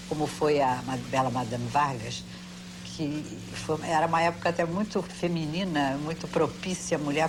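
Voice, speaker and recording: An older Brazilian woman, a veteran actress, reminiscing in an interview in a measured, articulate way. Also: low-pitched. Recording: humming, noisy old TV recording.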